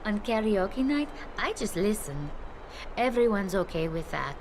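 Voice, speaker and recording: A woman's voice: medium pitch, animated, unhurried, background noise. A woman playing a kind, chatty, earthy tavern hostess in a video game, with a coaxing, affectionate, folksy manner. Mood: folksy, nostalgic. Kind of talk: video game cutscene acting.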